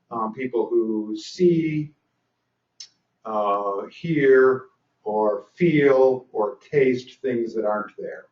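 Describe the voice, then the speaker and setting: An older man lectures at a training session, talking loosely with many fillers and dry asides. He is heard from some distance across a reverberant room. The recording is dull and muffled. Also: mid-range voice. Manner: dry humor, frequent fillers and restarts. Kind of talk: conference lecture.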